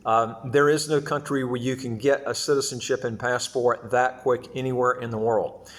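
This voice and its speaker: A man giving an informal explanatory talk to viewers as an advice host, halting and often repeating or stumbling over his phrases. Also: medium pitch, steady tone. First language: English. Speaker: host of a second-passport advice channel.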